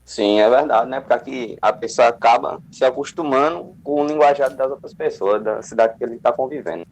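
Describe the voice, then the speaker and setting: A man's voice: medium pitch, a little fast, rough recording. A young man student, laid-back and slangy, answering his teacher over a video call. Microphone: video call mic.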